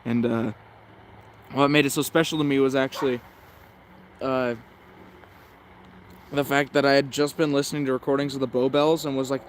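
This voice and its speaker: A man with a flat, even delivery talks casually as a hobbyist at his radio. He rambles hesitantly and corrects himself as he goes. There is faint background noise on the recording. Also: mid-range voice, normal speed, long pauses. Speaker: shortwave radio hobbyist narrating a tuning session. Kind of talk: casual off-the-cuff commentary.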